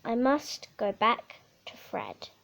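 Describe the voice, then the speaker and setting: A woman voicing a loyal, wistful male tabby cat from a storybook, in a plaintive, expressive way. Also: a little high, slow, vocal fry, exaggerated voice.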